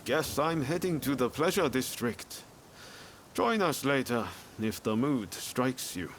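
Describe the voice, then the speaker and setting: An actor playing an easygoing, principled young samurai in a video game cutscene, speaking in a casual, laid-back way. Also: male voice, medium pitch, expressive, a little slow, fairly bright tone, a little hiss or hum. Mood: troubled, musing.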